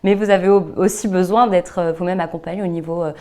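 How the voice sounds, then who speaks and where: A woman's voice: fairly low pitch, steady tone, quick pace, dark tone. A woman hosting an interview in an informal, conversational way, rewording and padding her questions with small tag words. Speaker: interview host.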